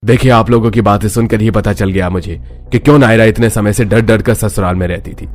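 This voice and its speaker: A man's voice: fairly low pitch, steady tone, fairly quick, darkish tone, lightly acted. A man playing a kindly, protective older father-in-law in a cartoon family story. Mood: stern. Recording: music bed under the voice.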